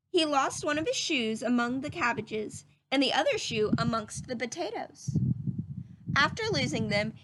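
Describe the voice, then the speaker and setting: A woman reads a picture book aloud for children in a high voice with lots of rise and fall. Her delivery is instructive, like a teacher guiding young listeners through the tale. There is faint noise behind her. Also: fairly bright tone, rough recording. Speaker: storybook reader and teacher. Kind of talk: children's read-aloud narration.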